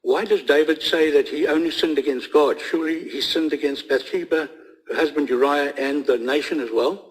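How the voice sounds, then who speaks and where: A man's voice: a little high, moderate pace, slightly rough, low-quality recording. A man with a South African accent from the audience, asking the host a direct question.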